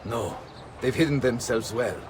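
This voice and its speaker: An actor playing a dignified, measured Italian Renaissance nobleman in a video game, with an Italian accent. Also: medium pitch, lively intonation, background noise, close to an everyday voice. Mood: grave, cordial. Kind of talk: dramatic video game acting.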